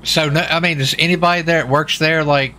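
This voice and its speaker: A man's voice: fairly high pitch, fairly even intonation, fairly quick, bright, forceful tone. A man playing a law officer in a roleplay game, asking questions in a casual way. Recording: faint noise on game voice chat.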